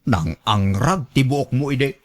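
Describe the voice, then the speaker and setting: A bossy husband played by a man in an Ilocano radio drama, with an Ilocano accent and a mid-pitched voice. He nags and scolds, handing out household orders in a domineering way. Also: slow. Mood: bossy, demanding. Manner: domineering, nagging. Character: bossy, scolding husband who orders his wife around.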